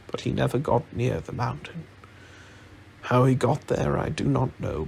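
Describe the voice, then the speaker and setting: A man playing a grave, proud old wizard who speaks in a slow, admonishing way for a storybook. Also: medium pitch, gravelly, slight background noise, poor audio.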